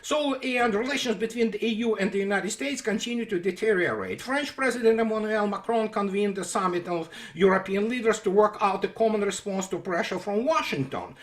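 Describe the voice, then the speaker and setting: High-voiced man with a sarcastic rant. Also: expressive, fairly quick, hardly any pauses, fairly bright tone. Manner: sarcastic, emphatic, many fillers and restarts. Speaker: political commentator. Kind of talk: unscripted monologue commentary.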